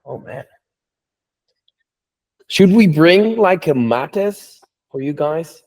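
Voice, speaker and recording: A man's voice: a little high, animated, drawn-out words, a little mellow. A man taking part in a casual group chat at a meetup. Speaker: meetup participant.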